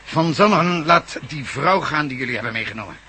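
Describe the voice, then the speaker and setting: Man playing an expressive, stern police commissioner. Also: on the high side, brisk, crowd noise, lightly acted. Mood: tense, demanding. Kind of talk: radio drama acting. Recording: clearly noisy radio play sound.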